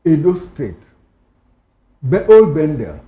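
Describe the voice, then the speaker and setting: Roomy-sounding Nigerian man, animated pitch. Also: takes it slowly, a little hiss or hum. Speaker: panellist on a talk show. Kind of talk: conversational commentary on current affairs.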